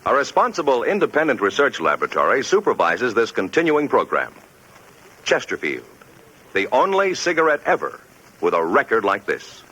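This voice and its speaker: A man's voice: mid-range voice, wildly swooping pitch, normal speed, bright, forceful tone, husky, poor audio. A man announcing a 1950s radio commercial in an emphatic, declamatory style. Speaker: cigarette advertisement announcer.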